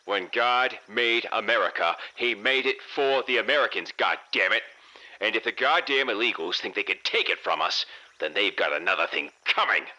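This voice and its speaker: Guy putting on a cranky old american radio ranter, nasal n shaky, pitched up, swearing mad. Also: normal speed, bright, forceful tone, poor audio. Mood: outraged. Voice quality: nasal, trembling. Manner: swearing, indignant.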